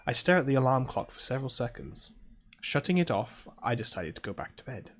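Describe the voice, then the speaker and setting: A man's voice: mid-range voice, sing-song, a little fast, natural voice. A British man reading a sleepy schoolgirl mage. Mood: drowsy. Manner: drowsy, grumbling first-person reading. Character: sleepy proud teenage girl mage. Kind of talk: reading a visual novel aloud.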